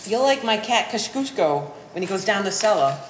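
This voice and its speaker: A woman plays a sharp-tongued, teasing woman in a live stage play. Her dry, sardonic lines come at medium pitch through an echoey room with clear background noise. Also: animated, normal speed, close to an everyday voice. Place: art gallery performance space.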